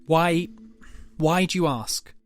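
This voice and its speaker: A man playing a defensive divorced father in an audio drama, guarded and quick to snap. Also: on the high side, noisy background. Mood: guarded, gentle. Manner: guarded, snapping when provoked. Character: immature, defensive divorced young father, trying to be gentle.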